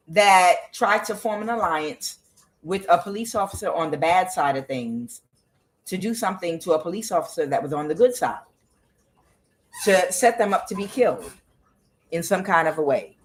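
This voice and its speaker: A woman's voice: fairly low pitch, animated, normal speed. Blunt, profane woman reading tarot. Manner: blunt and profane, with frequent "uh" fillers; questions the cards aloud as she reads. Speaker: tarot reader. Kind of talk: solo tarot reading to camera.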